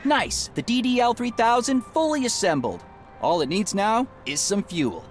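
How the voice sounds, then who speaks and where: A man's voice: sits high, animated, normal speed, soft background music. An actor playing an eager, proud young male engineer and rocket inventor in a video game, with a wry, casual manner. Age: young adult. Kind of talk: video game character dialogue.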